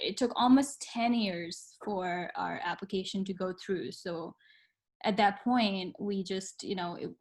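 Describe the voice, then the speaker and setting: A young woman from Pakistan, a guest on an interview show, telling her story in an earnest, reflective way with some hesitant fillers. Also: mid-range voice. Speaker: guest, medical student.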